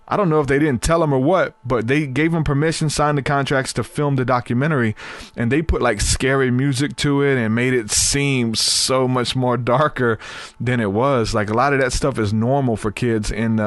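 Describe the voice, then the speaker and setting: A man's voice: medium pitch, dry recording. A man in his thirties hosting a podcast, chatting casually and rambling, his voice tired from long talking. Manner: rambling, repeats words, many fillers. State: voice getting tired. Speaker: podcast host.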